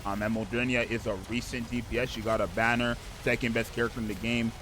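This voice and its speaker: A man with a slightly raspy voice, just out of bed, hosting casual gameplay commentary and rambling with frequent "right?" checks. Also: medium pitch, little pitch movement, quick pace, background noise. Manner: rambling, keeps asking "right?". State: just woke up. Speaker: gaming video host.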